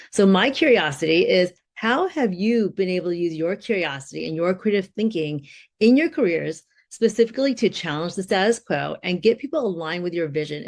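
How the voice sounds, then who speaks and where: Woman speaker reading a polished self-promo. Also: fairly low pitch. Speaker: keynote speaker. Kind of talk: scripted self-promo and taped question.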